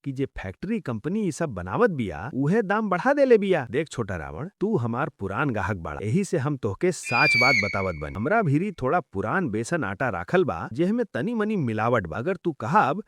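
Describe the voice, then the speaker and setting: A man's voice: medium pitch, wildly swooping pitch, normal speed, hardly any pauses, fairly bright tone. A man playing a pragmatic, slightly crooked village grocer in a cartoon moral story, persuasive and confiding with a Bhojpuri accent. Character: wheedling, pragmatic male village grocer selling adulterated flour. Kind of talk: animated moral story dialogue.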